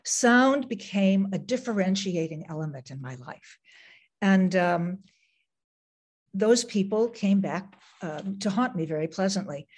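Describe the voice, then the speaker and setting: A woman's voice: mid-range voice, expressive, a little slow, darkish tone, husky. Greek-born woman author, reflective. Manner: reflective, with frequent ums. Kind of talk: reading aloud, then talking about her writing.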